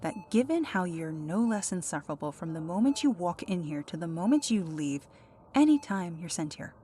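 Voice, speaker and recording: Grumpy sarcastic hero female actor, lowish mellow voice, exasperated deadpan jabs for a hospital ASMR drama. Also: moderate pace, a little hiss or hum. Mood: irritated. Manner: dry, sardonic, irritated. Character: grumpy sarcastic injured superhero, exasperated with nemesis. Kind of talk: ASMR roleplay acting.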